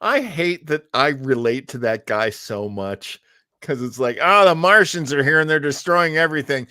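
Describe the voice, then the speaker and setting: A man's voice: a little high, animated, moderate pace, sharp tone, dry recording, studio quality. A man chatting casually as a co-host, reflective and informal, often searching for his words. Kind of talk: casual chat.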